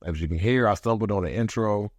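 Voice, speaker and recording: A man in his thirties hosting a solo podcast, talking reflectively with pauses, his voice a bit congested from allergies. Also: fairly low pitch, no echo. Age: 30s. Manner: reflective, pauses to gather thoughts. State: congested from allergies, voice deeper than usual. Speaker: podcast host. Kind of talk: casual solo podcast monologue.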